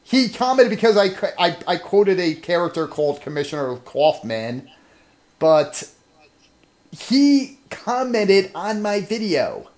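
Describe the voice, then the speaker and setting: Dude hosting a loose sweary show, lively voice, emphatic, says stuff twice. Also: male voice, high voice, unhurried. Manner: emphatic, repeats himself. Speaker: show host. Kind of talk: casual, profane podcast chat.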